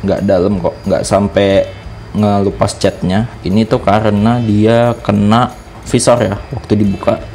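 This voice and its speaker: A man's voice: fairly low pitch, little pitch movement, unhurried, a little mellow, slight background noise. A man with a Jakarta Indonesian accent giving a casual product review, explaining things informally step by step as a vlogger.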